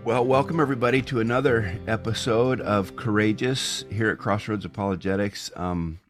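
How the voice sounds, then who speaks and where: Deep-voiced male podcast host. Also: fairly even intonation, normal speed, faint music behind. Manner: friendly, rambling with ums. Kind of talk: casual interview hosting.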